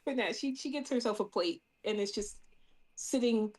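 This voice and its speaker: Girl player in a tabletop rpg, kinda high voice, playful casual improv chat. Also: normal speed. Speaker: player in a tabletop role-playing game.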